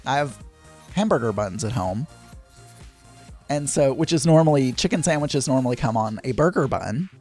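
A man with a hoarse, crackly voice, hosting a podcast in a rambling, chatty, digressive way with some self-deprecating asides. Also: medium pitch, animated, normal speed, long pauses, darkish tone, faint music behind. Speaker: podcast host. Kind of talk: rambling casual chat.

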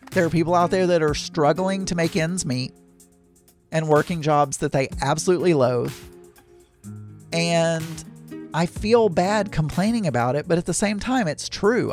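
Chatty Southern-accented podcast host. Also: mid-range voice. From American South. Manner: rambling, self-interrupting, chatty and candid. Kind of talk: casual solo podcast chat.

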